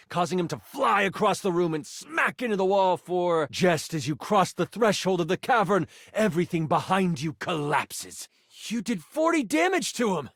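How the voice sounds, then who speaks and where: An actor playing an excitable, playful game master in a video game, narrating a fantasy adventure with theatrical suspense. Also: male voice, on the high side, dry recording, studio quality. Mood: excited. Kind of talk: dramatic tabletop game narration.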